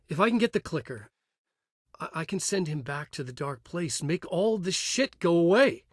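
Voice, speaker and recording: An actor playing a haunted, brooding writer trapped in a nightmare, in an intense, dramatic horror game delivery with a husky edge. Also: male voice, a little high, no room sound, studio quality. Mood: urgent, confused. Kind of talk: psychological horror game dialogue and brooding narration.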